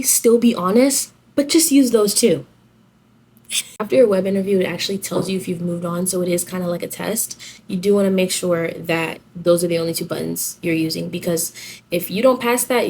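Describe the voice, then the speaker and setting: Low-voiced young woman, chatty vlogger, background noise. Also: a little mellow. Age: young adult. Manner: chatty, girlfriend-to-girlfriend slangy talk. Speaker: vlogger giving application tips. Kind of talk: casual advice vlog.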